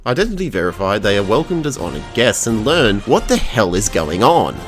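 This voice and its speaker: A man's voice: lowish voice, very animated, a little fast, soft background music. An Australian man delivering a scripted review narration with irreverent, crude humour. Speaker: video reviewer.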